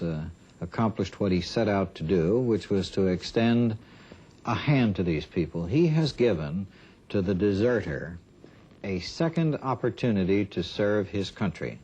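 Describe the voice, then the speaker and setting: An American man giving formal interview answers as a government official, speaking in a deliberate, halting way. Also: low-pitched, warm tone, breathy edge. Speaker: government program director being interviewed. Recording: dull 1970s archive interview audio.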